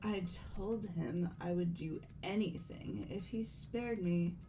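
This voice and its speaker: Composed, formal young female game character, played by a woman; slow, measured, halting delivery over prominent music. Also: on the low side, mellow. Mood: grave, formal. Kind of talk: dramatic video game voice acting.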